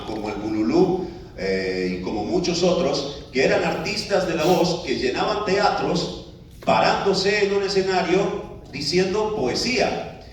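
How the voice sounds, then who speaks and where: A man's voice: fairly high pitch, slight background noise. Man speaking in a very echoey hall. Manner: conversational with frequent filler words and restarts. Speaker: speaker addressing an audience. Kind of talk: live talk to an audience. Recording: echoey room, picked up from a distance.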